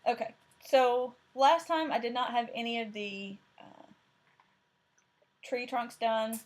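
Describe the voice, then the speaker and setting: A woman's voice: a little high, slow. Chatty woman with thick Tennessee accent. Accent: Southern US, Tennessee. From Tennessee, USA. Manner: chatty and rambling, with frequent fillers and self-corrections. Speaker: crafting vlog host. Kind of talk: casual chat about cross-stitch projects.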